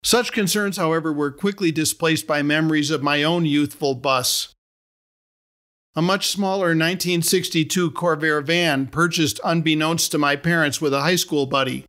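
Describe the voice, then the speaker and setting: A middle-aged man reading his own story aloud as a podcast host, in a measured, wry storytelling manner. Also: a little high, a bit bright. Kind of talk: scripted storytelling narration.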